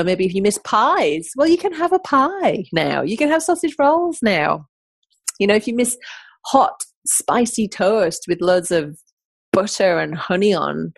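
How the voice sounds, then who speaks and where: Chatty English-accented woman, gravelly lows. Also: no echo. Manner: chatty and enthusiastic. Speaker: podcast host. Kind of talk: casual podcast monologue.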